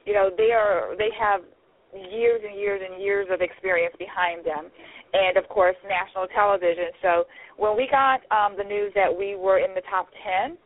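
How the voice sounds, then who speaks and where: A woman's voice: medium pitch, fairly even intonation, normal speed. A woman in her forties, a pageant founder, chatting upbeat as a guest with many "um"s. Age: 40s. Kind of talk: casual interview over the phone. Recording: tinny phone line.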